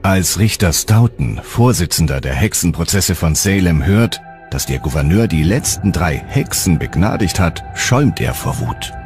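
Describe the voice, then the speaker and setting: A man with a very deep voice narrates a documentary from a script. His delivery is animated and varied in pitch, with faint music playing underneath. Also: moderate pace. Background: faint background music.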